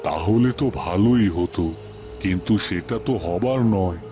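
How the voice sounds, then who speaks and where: A man voicing a wise holy hermit in a cartoon fairy tale, solemn and deliberate as he advises. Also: sits low, music in the background, natural voice. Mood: grave, hesitant.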